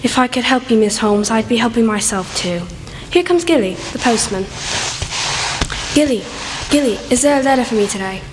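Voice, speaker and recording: A woman's voice: on the high side, animated, moderate pace, lightly acted. A woman acting in an amateur radio play as a forlorn, bitter young maid, with a plaintive, wounded manner. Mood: anxious. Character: young servant girl, forlorn deserted secret wife, bitter. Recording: echoey hall with faint noise.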